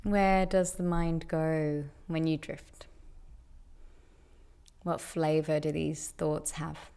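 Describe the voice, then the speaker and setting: Female meditation guide: lowish, gravelly with vocal fry, very even delivery, gentle and deliberate. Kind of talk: guided meditation, soothing instruction.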